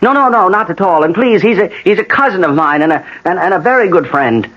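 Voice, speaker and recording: A man playing a worried, flustered husband in a radio drama, agitated and halting. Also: high-pitched, lively intonation. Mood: agitated, pleading. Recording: dull, hissy old radio broadcast.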